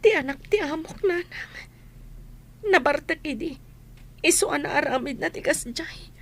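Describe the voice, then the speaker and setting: A woman's voice: sits high, unhurried. A woman playing a wronged, defiant young sister, pleading but firm, in a radio drama with an Ilocano accent. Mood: tearful.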